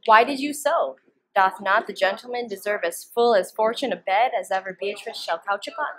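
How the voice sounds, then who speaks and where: A teenage girl acts a young gentlewoman serving her mistress in a Shakespeare comedy. She is deferential and in on the scheme, speaking the old verse at a medium pitch. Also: moderate pace, close to an everyday voice. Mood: earnest, deferential. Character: Ursula, young gentlewoman attendant, deferential co-conspirator. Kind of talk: Shakespearean stage dialogue, student performance.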